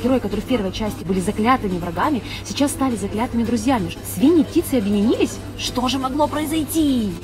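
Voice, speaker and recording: A woman co-hosting a children's vlog, chatting in an animated way and addressing young viewers directly. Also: on the high side, fast talker, few pauses. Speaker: off-camera co-host of a family children's vlog. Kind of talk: upbeat kids' channel presenting and chat. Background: background music.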